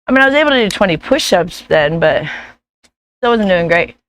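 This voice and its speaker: A woman with a hoarse, gruff voice chatting casually in her vlog, rambling and laughing often. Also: lowish voice, lively intonation, brisk, a bit bright, slight background noise. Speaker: vlogger. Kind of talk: casual chat.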